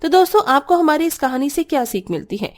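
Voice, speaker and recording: A woman's voice: sits high, lively intonation, fast talker. A woman storyteller delivering scripted narration for a cartoon story.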